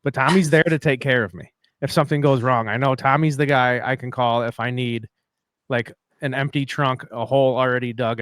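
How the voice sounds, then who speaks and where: A male podcast host rambles and cracks jokes at his own expense in a loose, casual way. His voice sits mid-range and sounds dry, with little room echo. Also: medium pitch. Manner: rambling, joking, self-deprecating.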